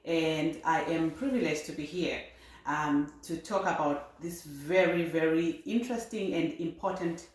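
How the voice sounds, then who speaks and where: A woman speaks as a talk show guest in a low voice. She is measured and pauses with frequent ums. Her voice is heard in a strongly echoing room. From Zimbabwe. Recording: very echoey room.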